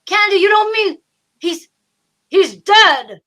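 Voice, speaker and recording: Woman as fussy, bright, swooping sidekick Rembrandt. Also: very high voice, animated, slow, heavily acted. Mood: droll, dismayed. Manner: arch, theatrical, fussy. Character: Candy's fussy, superstitious, droll male sidekick Rembrandt. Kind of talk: old-time radio comedy-mystery acting.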